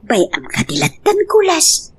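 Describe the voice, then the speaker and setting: A woman playing a concerned, protective older mother and grandmother in a family audio drama, with a husky voice. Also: very high-pitched, wildly swooping pitch, normal speed, exaggerated voice. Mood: mildly reproving. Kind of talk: Ilocano radio-style drama acting.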